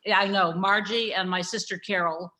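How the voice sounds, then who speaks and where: A woman's voice: medium pitch, fairly even intonation, normal speed, sharp tone, low-quality recording. A woman on a video call, chatty and familiar, informally putting a question as an audience member.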